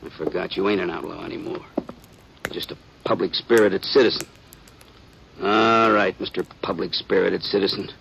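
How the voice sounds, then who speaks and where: Gruff, gravelly western deputy. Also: male voice, low-pitched, steady tone, normal speed, a bit bright. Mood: scornful, stern. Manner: folksy, blunt, sarcastic drawling. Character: gruff, weary small-town western deputy, scornful of outlaws. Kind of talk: old-time radio western acting. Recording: noisy vintage radio recording.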